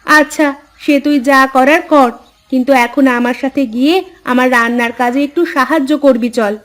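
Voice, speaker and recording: A woman's voice: high voice, steady tone, normal speed, natural voice. A woman voicing a nagging, hardworking village mother in a moral-story cartoon, chiding and complaining as she talks. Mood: bossy.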